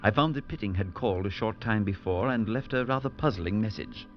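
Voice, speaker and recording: A man voicing a deep-voiced priest narrating a radio drama. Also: natural voice. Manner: measured narration with rising alarm in dialogue. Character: male priest narrator, earnest, steady, unsettled. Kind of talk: 1940s radio acting. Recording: dull lo-fi vintage radio with music.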